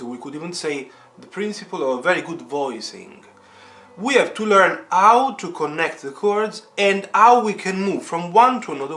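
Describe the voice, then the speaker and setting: A man's voice: a little high, pushed and bright. A man teaching in an instructional video tutorial, explaining step by step and stressing key words, with rhetorical questions to the viewer. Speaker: piano teacher, channel host. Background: piano chords played as demonstration.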